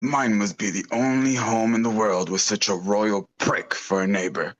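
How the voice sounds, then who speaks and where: Man reading a low-voiced, bitter poor neighbour. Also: fairly even intonation, moderate pace, muffled recording, lightly acted. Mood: bitter, crude. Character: crude, resentful poor neighbour of a nobleman. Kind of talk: screenplay table read.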